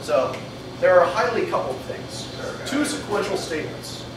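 A young man giving a meetup talk at a whiteboard, animated and laughing often as he thinks aloud and takes questions. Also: high-pitched, normal speed. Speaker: meetup speaker. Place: meeting room with an audience. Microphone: far camera mic. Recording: echoey room from far off, noisy.

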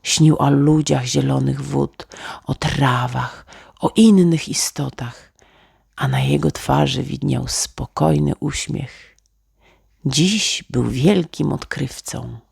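A woman's voice: extremely low pitch, slow, vocal fry, a little mellow, slightly rough, no room sound, studio quality. A woman reading a children's bedtime story as a scripted narrator.

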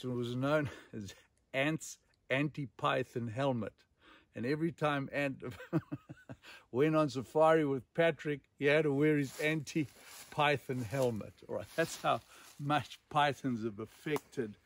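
An older man in his sixties with a Zimbabwean accent is telling a story outdoors. He speaks emphatically, leaning on dramatic pauses and repeated words. The sound is dry, with a middle-pitched voice. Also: no room sound. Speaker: storyteller and host. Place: outdoors in dense bush.

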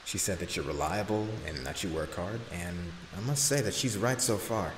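A devoted, anxious right-hand man, acted by a male performer in an audio drama. His low voice is earnest and deferential, recorded with a roomy echo and faint background noise. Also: normal speed, close to an everyday voice. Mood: earnest, confiding. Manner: earnest, respectful. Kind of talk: audio roleplay acting.

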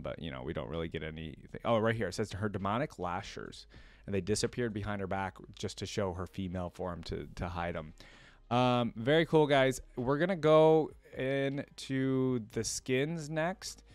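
A man's voice: fairly low pitch, no echo. A man in his twenties giving casual reaction commentary and reading story text aloud, close on a desk mic indoors. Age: 20s. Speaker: reaction video host. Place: indoor room at a desk with gaming chair.

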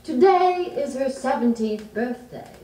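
A woman's voice: high voice, expressive, a little slow, warm tone. A woman giving a theatrical introduction as the narrator of a stage sketch. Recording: roomy, distant 1950s 78 rpm record.

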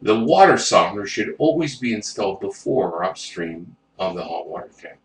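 A man's voice: fairly low pitch, big pitch swings, normal speed. A man narrating an instructional plumbing video, reading technical figures step by step with the odd self-correction. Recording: roomy, distant mic with faint noise.

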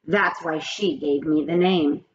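A woman reading aloud and voicing a shy, quiet schoolboy in a higher, eager voice. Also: a little slow, lo-fi sound, slight background noise. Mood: earnest.

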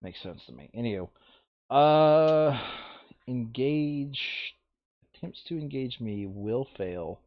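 A man's voice: medium pitch, animated, takes it slowly, dry recording, dull audio. A man playing a board game over voice chat, thinking aloud casually and muttering counts and rules to himself.

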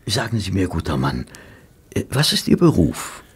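A man's voice: sits low, wildly swooping pitch, normal speed, breathy edge, full character voice. A man playing a polite, curious doctor and detective's companion in a German audio drama, hesitant and easily astonished. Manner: hesitant, easily amazed, questioning.

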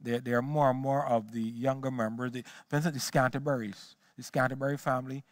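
A man's voice: medium pitch, fairly even intonation, fast talker, dry recording, studio quality. A man gives a history lecture on a small auditorium stage into a headset mic, often repeating words as he searches for the next phrase. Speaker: guest lecturer.

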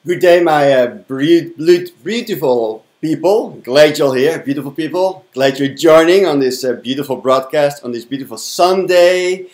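A man's voice: a little high, normal speed, hardly any pauses, a little mellow. A man in his fifties with a Dutch accent gives an effusive spiritual talk to camera, repeating affirming words. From Netherlands. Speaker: spiritual mentor and broadcast host. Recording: roomy, echoing indoor sound.

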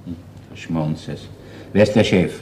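A mellow, wry Viennese police inspector. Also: male voice, low voice, a little hiss or hum. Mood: bored, wry. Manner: dry, unhurried, placating. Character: wry, world-weary senior police inspector, patient and shrewd. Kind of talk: radio crime drama acting.

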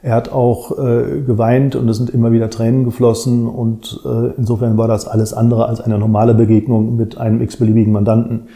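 A man in his fifties giving a halting interview answer as a lawyer, recorded in an office. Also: mid-range voice, flat delivery, creaky, mellow. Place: small office indoors.

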